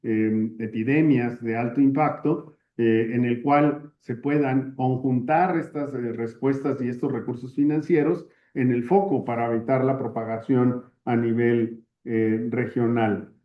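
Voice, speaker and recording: A man's voice: medium pitch, a little slow, hardly any pauses. Man speaking from an echoey room. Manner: steady, with frequent fillers. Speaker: webinar panelist. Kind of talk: webinar talk. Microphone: video call. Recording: echoey, dull video-call audio.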